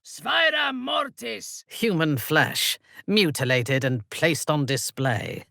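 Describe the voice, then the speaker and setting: An actress playing a haughty, menacing female drow warrior cleric in a video game, speaking in an imperious, measured, cutting way. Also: low-pitched, fairly bright tone, no echo, studio quality, exaggerated voice. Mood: incanting, then cool, disdainful. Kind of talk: video game character voice lines.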